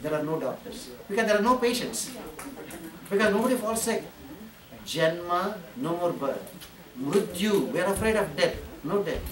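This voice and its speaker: A man's voice: high voice, normal speed, a crowd in the background. A man from India, giving a sermon as a spiritual teacher, repeating phrases and chanting Sanskrit verses. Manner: repetitive, animated preaching with chanting. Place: ashram hall. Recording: roomy hall, distant mic, audience.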